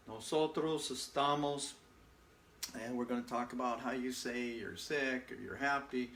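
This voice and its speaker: A man with a voice of ordinary pitch talks through a beginner Spanish lesson in a relaxed, folksy way. He is a native English speaker, patient with his learners, and keeps checking in with them. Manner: patient, folksy, frequent "okay?" and "uh" fillers. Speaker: Spanish teacher. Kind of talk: casual spoken language lesson.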